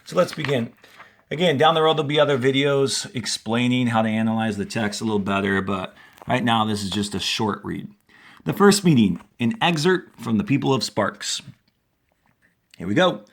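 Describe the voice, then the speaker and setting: A man, a fourth grade teacher, reading a story aloud to a class in a deliberate, instructional manner. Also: mid-range voice. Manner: deliberate, instructional, clearly announcing paragraphs and quotes. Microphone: handheld camera held while reading.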